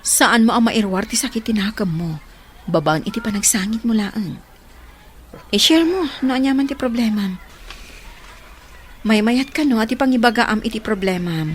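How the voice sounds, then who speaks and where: A woman's voice: on the high side, moderate pace, pauses often, a little hiss or hum, natural voice. A woman with an Ilocano accent playing a frank, lovelorn single woman in her thirties in a radio drama. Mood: gently concerned. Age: 30s.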